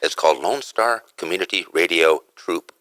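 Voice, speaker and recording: A man's voice: low-pitched, moderate pace, sharp tone, no room sound. A man, a radio DJ, chatting casually and agreeably as a guest on a studio microphone. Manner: agreeable, affirming. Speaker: radio DJ and show host, guest here.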